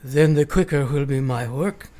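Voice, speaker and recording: An actor playing a grave older professor and vampire hunter in a radio play, with formal, old-fashioned speech. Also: male voice, mid-range voice, normal speed, dark tone, lightly acted. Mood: grave, resolute. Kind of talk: radio drama acting.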